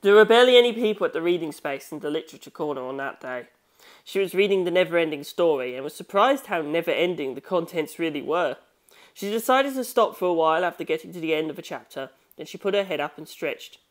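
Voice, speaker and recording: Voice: medium pitch, expressive, fast. A narrator reading a novel aloud as scripted audiobook narration.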